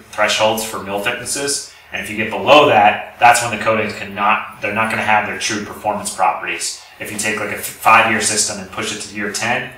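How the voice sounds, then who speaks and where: Deep, very bright-voiced male lecturer, roomy sound. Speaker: lecturer, company representative. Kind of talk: technical presentation with audience questions. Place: indoor lecture room with audience. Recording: roomy, distant mic, faint background noise.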